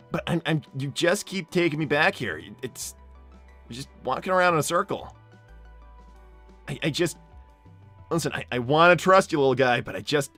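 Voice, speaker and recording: A man's voice: on the high side, sharp tone, no echo. A man playing a cocky but kindly young hedgehog hero, stammering and rambling casually in improvised game role-play. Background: music.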